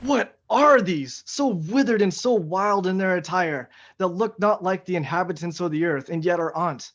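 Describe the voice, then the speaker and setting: A man's voice: high-pitched, normal speed, fairly bright tone. A man playing a bold noble general who questions and declaims in a Shakespearean radio play. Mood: wary questioning. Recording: dull, lo-fi sound.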